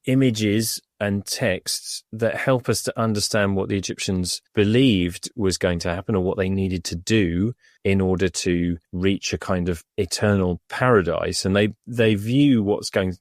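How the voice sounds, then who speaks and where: Male expert co-host, very low voice, dry close sound, thoughtful with ums. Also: fairly even intonation, moderate pace, dry recording. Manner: thoughtful, hesitant with fillers and restarts. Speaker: Egyptologist co-host. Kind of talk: casual explanatory podcast chat. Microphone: remote over the internet.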